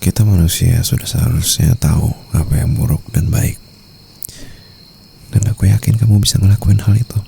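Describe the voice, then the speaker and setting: A very gravelly, low-pitched Indonesian mafia boss , played by a man, murmurs to his lover in an ASMR roleplay. He is tender but possessive, teasing and soothing. Faint noise of a night with crickets sits behind him. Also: normal speed, dark tone. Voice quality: deep, gravelly. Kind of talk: ASMR roleplay, intimate boyfriend monologue.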